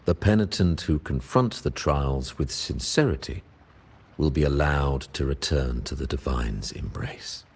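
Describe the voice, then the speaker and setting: An actor voicing a stern, solemn religious ruler in a video game, with formal, ceremonial and pompous oratory. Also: male voice, very deep voice, lively intonation, unhurried, a little hiss or hum, full character voice. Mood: solemn, measured.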